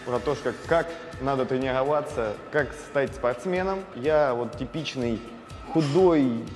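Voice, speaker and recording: Male presenter, mid pitch, promo read in a reverberant hall with soft music. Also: unhurried. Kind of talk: promo announcement.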